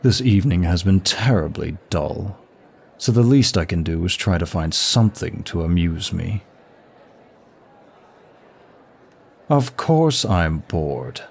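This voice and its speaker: A man playing a suave, teasing demon prince of lust in an ASMR roleplay, bored and sadistic, with a languid, husky delivery. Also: deep voice, animated, unhurried, exaggerated voice. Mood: bored, playful.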